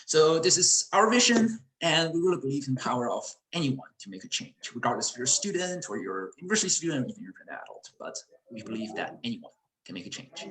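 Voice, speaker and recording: A man's voice: mid-range voice, sing-song, fast, fairly bright tone, slight background noise. A teenage male student, presenting informally on an online call. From Chicago area, United States. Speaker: student presenter, education executive of a youth charity. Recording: roomy echo on online call.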